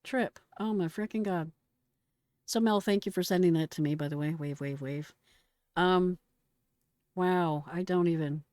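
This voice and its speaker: A woman's voice: fairly low pitch, a little slow, long pauses, a little mellow, no room sound. A middle-aged American woman giving casual, blunt and exclamatory reaction commentary in slangy speech. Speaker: retired emergency dispatcher reacting to a call recording.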